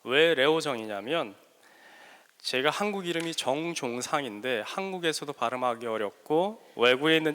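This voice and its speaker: A man with a bright, forward voice and a regional Korean dialect showing through his learned Seoul speech, lecturing students with self-deprecating humor and hesitant pauses. He speaks into a handheld microphone. A long hall reverb trails each phrase. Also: mid-range voice, smooth. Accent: Korean regional dialect under learned Seoul speech. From South Korea. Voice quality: joking, self-deprecating, with frequent hesitant fillers. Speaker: guest mentor lecturer. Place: large hall at a school workshop.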